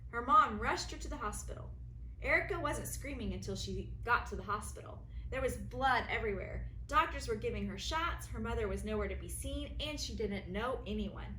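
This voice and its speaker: A woman teacher reading a children's story aloud with expressive, deliberate storytelling. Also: fairly high pitch. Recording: roomy echo, faint background noise.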